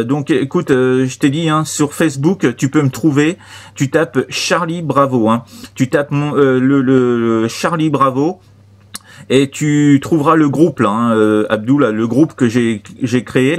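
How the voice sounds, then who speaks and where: A man chatting casually as a CB radio operator, halting and repetitive, with many short verbal tags. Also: medium pitch. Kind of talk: casual radio contact chat.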